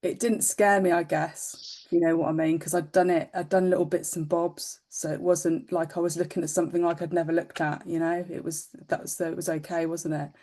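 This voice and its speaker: A woman's voice: on the low side, little pitch movement, a little fast, hardly any pauses, mellow. A woman co-hosting a podcast in casual chat, speaking in an informal, modest and agreeable way. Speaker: podcast co-host.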